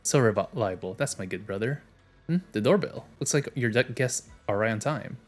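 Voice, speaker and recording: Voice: mid-range voice, expressive, normal speed, soft background music. A game streamer chatting casually and reading dialogue aloud on a livestream, sometimes stumbling and self-correcting.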